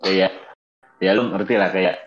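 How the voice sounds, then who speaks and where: A young man with a fairly low voice talks in a laid-back group chat. He pauses often, stalls on fillers, and gropes for the right words. The audio is muffled and lo-fi. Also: fairly even intonation. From Bogor, Indonesia. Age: young adult. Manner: halting, searching for words. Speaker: student. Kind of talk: casual chat. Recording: dull lo-fi sound.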